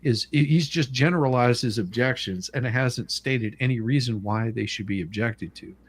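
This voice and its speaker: A man's voice: mid-range voice, moderate pace, husky. A man reading legal documents aloud and adding casual, blunt commentary, sometimes stumbling over the formal wording, as co-host of a livestream.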